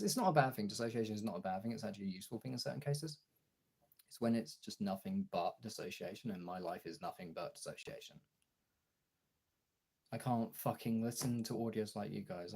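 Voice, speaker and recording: A young man streamer talking casually and frankly in a gravelly, husky voice, halting at times and swearing freely. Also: lowish voice, darkish tone. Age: young adult. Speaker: streamer and voice actor chatting to followers. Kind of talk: casual candid chat.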